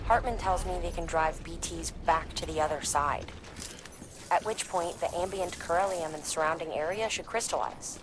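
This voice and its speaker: An actress playing an earnest scientist in a video game, explaining a mission in a conversational way. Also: female voice, lowish voice, lightly acted. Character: earnest scientist woman briefing a courier on experimental weapons.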